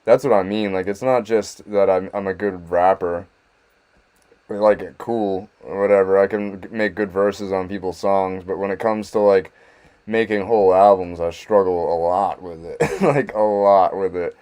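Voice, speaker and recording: A man in his thirties, a guest rapper, chatting casually on a podcast in a halting, self-deprecating way, laughing often. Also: sits low, steady tone, a little mellow, clean tone.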